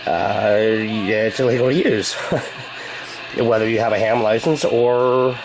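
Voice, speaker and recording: A man's voice: lowish voice, steady tone, takes it slowly, noisy background. An American man vlogging in a rambling, casual chat, with a wry, joking, sarcastic manner. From United States.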